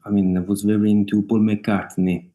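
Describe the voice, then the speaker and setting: A man from Italy with a clear Italian accent speaks on a video call in a low, mellow voice. His talk is halting, thick with fillers, and broken by small laughs. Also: little pitch movement. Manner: hesitant, many fillers, laughs often. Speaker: guest singer-songwriter. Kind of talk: casual interview over video call.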